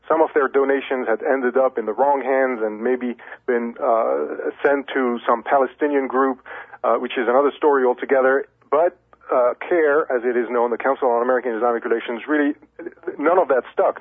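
Man, phone-in radio guest, husky tone, measured and explanatory over a tinny line. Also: medium pitch, no room sound. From Lebanon. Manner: measured, explanatory, many restarts. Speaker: journalist guest.

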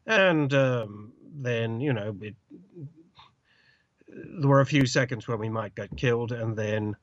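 A British journalist in an interview, an older man who speaks haltingly and searches for words, with many uh's. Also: mid-range voice, dry recording. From Britain. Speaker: journalist guest. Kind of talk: interview over the phone. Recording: dull lo-fi line, dry.

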